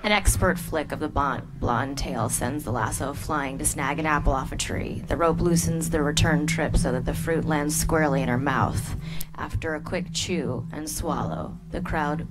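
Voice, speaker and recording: A woman's voice: deep voice, moderate pace, heavy background noise. A woman reading stage directions aloud at a live script reading, in a plain, matter-of-fact way. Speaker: narrator.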